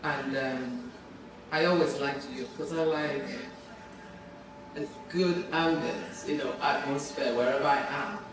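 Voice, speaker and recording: A man's voice: mid-range voice, fairly even intonation, unhurried, background noise. A man reminiscing casually in a documentary interview. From Nigeria. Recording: very echoey, distant, music behind.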